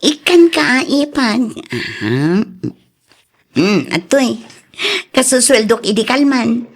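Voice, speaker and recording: Slow-talking Ilocano woman in-law. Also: a little high, sing-song, exaggerated voice. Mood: sympathetic. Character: gossipy, teasing woman in-law, good-hearted underneath. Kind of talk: radio drama acting.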